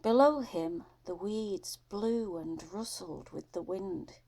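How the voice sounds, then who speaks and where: A woman narrating an audiobook story in a measured, deliberate storytelling manner. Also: mid-range voice, dark tone.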